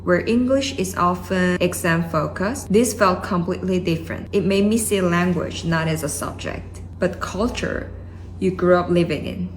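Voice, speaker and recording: Calm Korean-born woman narrating. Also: lowish voice, moderate pace, noisy background. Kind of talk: reflective scripted narration.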